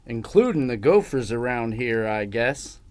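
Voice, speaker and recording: An actor playing a courteous, slightly awkward young newlywed husband in an audio drama. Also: male voice, medium pitch, very animated, a little slow, a little mellow. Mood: curious, uneasy. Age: young adult. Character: polite, curious young newlywed husband, a traveler.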